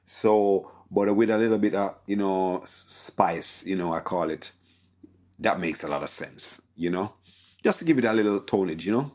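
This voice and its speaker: Man, very deep voice, casual tutorial, relaxed explaining, keeps saying okay. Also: moderate pace. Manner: relaxed, filler-heavy explaining with frequent "okay?". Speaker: mixing engineer teaching a tutorial. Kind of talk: casual screen-recorded tutorial.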